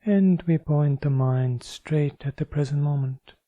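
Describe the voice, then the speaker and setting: A man leading a guided meditation as a teacher, with measured, deliberate phrasing and pauses. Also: on the high side, warm tone, no echo, dull audio.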